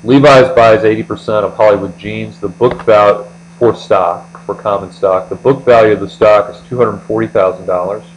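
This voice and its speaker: Low-voiced American man tutoring, humming background. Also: moderate pace, few pauses, dull audio. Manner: methodical, step-by-step explaining. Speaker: accounting tutor. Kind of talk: explanatory screencast lecture. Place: indoors, voice-over on screen recording. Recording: steady mains hum.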